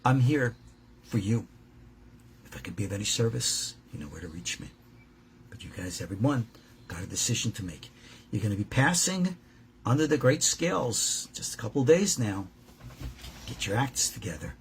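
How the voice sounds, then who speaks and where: Male rabbi, informal teacher-preacher. Gravelly fry, emphatic and direct, slips into imagined dialogue. Slightly noisy recording. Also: lowish voice, sing-song, moderate pace, pauses often. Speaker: rabbi and teacher at a Torah school. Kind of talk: informal religious lesson, preaching to students.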